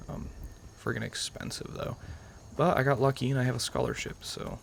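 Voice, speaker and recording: A young American man chats casually through a video game, often rambling and qualifying himself. His mid-pitched voice has plenty of vocal fry, over faint game ambience. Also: steady tone, fairly quick, slight background noise. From New York, United States. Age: young adult. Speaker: gaming channel host. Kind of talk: casual let's-play commentary.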